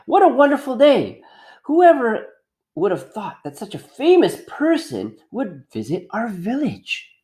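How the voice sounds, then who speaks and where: A man's voice: very high voice, wildly swooping pitch, measured pace, warm tone, pitched above the performer's own voice, exaggerated voice. A man voicing a gushing, self-important mayor's wife in a storybook.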